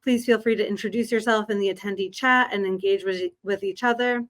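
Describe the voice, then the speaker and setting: A woman's voice: medium pitch, fairly even intonation, fairly quick, fairly bright tone. A woman acting as webinar moderator, politely reading housekeeping notes and introducing the speaker.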